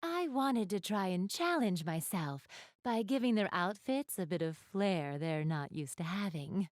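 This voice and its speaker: A woman's voice: medium pitch, animated, unhurried, a little mellow, dry recording, clean studio sound, lightly acted. A woman playing an elegant, doting big-sister goddess in a video game, speaking in polite, formal, refined phrases straight to the listener. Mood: proud, doting. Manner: polite, formal, refined diction, gushing over what she adores. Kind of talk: video game character acting, addressing the listener.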